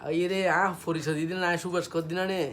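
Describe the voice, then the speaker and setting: A man's voice: fairly high pitch, little pitch movement, quick pace, a bit bright. A man with a making a casual, teasing and persistent prank phone call. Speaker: prank caller. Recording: clearly noisy background.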